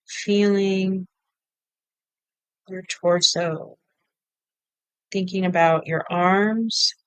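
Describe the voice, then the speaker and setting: A woman leading a guided meditation, giving gentle step-by-step instructions in short phrases with pauses between them. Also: medium pitch, clear, resonant tone, dry recording, low-quality recording. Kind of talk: guided body-scan meditation.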